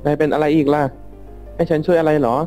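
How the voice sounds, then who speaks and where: A man's voice: a little high, fairly even intonation, fast, over loud music, natural voice. A man voicing an exasperated but helpful robot cat in a cartoon fan drama, talking casually. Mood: resigned, helpful.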